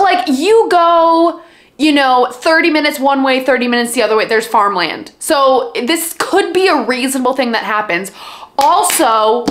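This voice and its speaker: A young woman with a Minnesota accent talking casually as a book vlogger, animated and gushing, with lots of fillers, in her living room. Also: high-pitched. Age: young adult. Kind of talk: casual chat.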